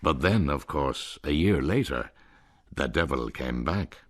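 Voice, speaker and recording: A man's voice: extremely low pitch, lively intonation, measured pace, no echo. A man narrating in the first person as a piper in a radio drama, in a wry, dry storytelling manner.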